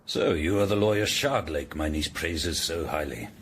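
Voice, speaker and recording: A man's voice: a bass voice, lively intonation, moderate pace, breathy edge, heavily acted. An older man with an English accent playing an imposing, stern Tudor lord in a radio drama, formal and commanding.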